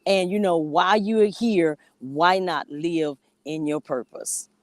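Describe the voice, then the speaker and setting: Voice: mid-range voice, unhurried, no echo. A motivational speaker talking earnestly and reflectively about purpose as a co-host on a video call. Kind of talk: guest talk on an online show.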